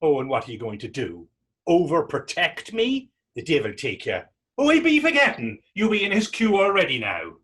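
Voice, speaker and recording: Man acting a husky, mocking young Irish vagrant. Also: fairly high pitch, wildly swooping pitch, moderate pace, a bit bright, full character voice. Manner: taunting, sarcastic, theatrical dialect speech. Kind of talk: readers theater stage acting.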